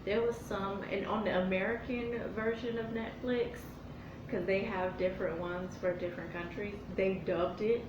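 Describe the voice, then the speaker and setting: A woman's voice: medium pitch, fairly even intonation, moderate pace, distant mic, lo-fi sound. A young woman chatting casually in a vlog, answering viewer questions, meandering with lots of fillers and restarts. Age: young adult. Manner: meandering, with many fillers and self-corrections. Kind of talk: casual rambling vlog chat. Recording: echoey room, off-mic, noisy.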